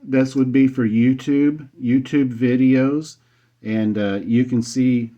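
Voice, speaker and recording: This is a man talking as a ham radio operator. His mid-pitched voice is slow and deliberate, with letters and numbers carefully spelled out. The recording is a little dull and narrow. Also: steady tone, warm tone, slight background noise. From United States. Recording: slightly dull, band-limited sound.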